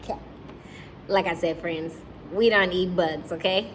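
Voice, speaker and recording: A woman's voice: lowish voice, a little slow, a little hiss or hum, rough recording. A woman teacher reading a story aloud to young children, breaking off to question and explain things to her listeners.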